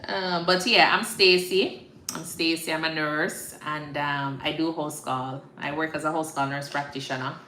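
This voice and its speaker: A woman's voice: low voice, fairly even intonation, unhurried, pushed and bright. A woman with a Jamaican accent chatting casually and informally on a livestream, often checking that listeners understand. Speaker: livestream host, nurse practitioner. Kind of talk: casual livestream chat to followers. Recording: echoey room with faint background noise.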